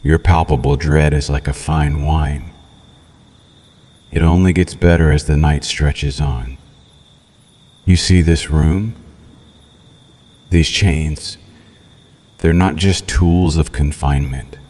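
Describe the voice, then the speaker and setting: Man voicing a very deep, cruel vampire captor. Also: darkish tone. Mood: hungry, menacing. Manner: slow, menacing, mocking, theatrical. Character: cruel, sadistic male vampire captor, gloating and possessive. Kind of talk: ASMR roleplay, scripted villain monologue.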